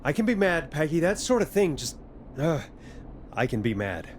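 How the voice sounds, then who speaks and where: A man's voice: fairly high pitch, big pitch swings, normal speed, slight background noise. A man playing a wry, easily irritated late-night radio talk show host in a video game, with practised on-air patter. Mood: annoyed.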